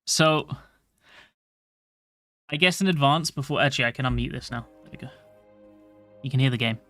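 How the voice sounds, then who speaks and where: A man streaming a game, chatting casually to his viewers in a soft voice, rambling and swearing offhandedly. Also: medium pitch, moderate pace, soft background music. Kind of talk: casual livestream chat.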